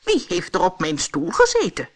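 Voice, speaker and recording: A woman voicing excitable little male dwarfs in a fairy tale audiobook, exclaiming in short bursts. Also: fairly low pitch, normal speed. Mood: indignant, surprised.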